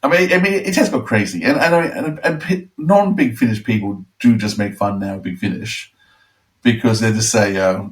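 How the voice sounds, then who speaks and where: A man chatting casually as a podcast panellist, rambling and halting as he repeats words. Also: fairly low pitch, recorded from a distance. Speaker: podcast panellist discussing audio dramas. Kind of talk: casual chat.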